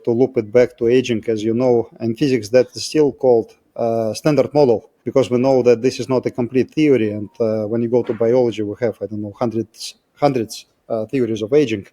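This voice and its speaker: A man's voice: medium pitch, warm tone. A middle-aged man with a Russian accent, explaining things as an interview guest in a reflective, discursive way. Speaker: interview guest, physicist turned biotech scientist.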